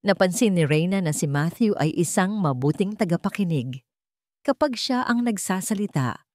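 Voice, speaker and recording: A woman's voice: on the low side, darkish tone, no echo, studio quality. A woman with a Filipino accent reading a scripted romance story as a dramatic narrator.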